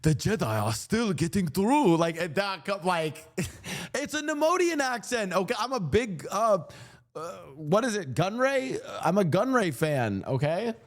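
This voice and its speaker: Lively, rambling male streamer. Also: sits high, unhurried. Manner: rambling, laughing, joking asides. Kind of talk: casual livestream commentary.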